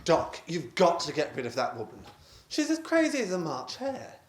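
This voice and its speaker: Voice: mid-range voice, wildly swooping pitch, normal speed, very noisy. A performer plays a harried married man in a radio farce. The delivery swings up and down a great deal, blustering and stammering with exasperation. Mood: urgent. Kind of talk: stage farce radio play acting.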